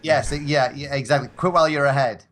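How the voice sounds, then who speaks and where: A man's voice: medium pitch, fairly even intonation, a little fast, fairly bright tone. A man from Scotland, chatting casually as a podcast guest in a bantering, rambling way. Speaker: podcast guest, audio drama actor. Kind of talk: casual chat.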